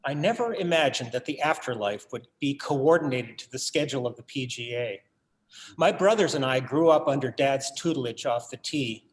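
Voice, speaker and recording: An actor in a staged reading, playing a bereaved writer son who tells his family story in a measured, literary, wry way. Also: medium pitch, expressive, lightly acted, low-quality recording. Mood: wry, nostalgic. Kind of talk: dramatic monologue in a staged reading.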